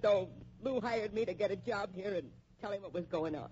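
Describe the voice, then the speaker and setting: A woman's voice: mid-range voice, a little fast, warm tone, close to an everyday voice. A woman playing a cornered, defiant young informant in a 1950s radio crime drama, tough-talking and melodramatic. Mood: tough, confessing. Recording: muffled, noisy 1950s radio recording.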